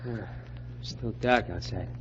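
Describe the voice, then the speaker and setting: Brusque small-time American crook, muffled old radio. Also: male voice, lowish voice, slow pace. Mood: subdued, weary. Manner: brusque, bossy, slangy. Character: tough, impatient small-time crook on the run. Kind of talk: 1940s radio crime drama acting. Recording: dull, noisy old radio recording.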